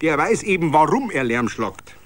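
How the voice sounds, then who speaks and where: A man's voice: on the high side, very animated, moderate pace, pitched above the performer's own voice. A man voicing a cocky, stubborn political zealot with a Bavarian accent, arguing insistently in a tavern. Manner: insistent, self-assured, colloquial.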